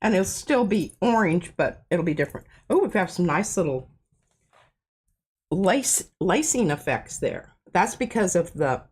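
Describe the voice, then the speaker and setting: A woman's voice: fairly low pitch, animated, measured pace, husky. A woman hosting a casual art demonstration, chatty and self-deprecating as she works, a bit stuffy-nosed. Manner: chatty, self-deprecating, talks while working. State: stuffy nose. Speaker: craft show host. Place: home art studio.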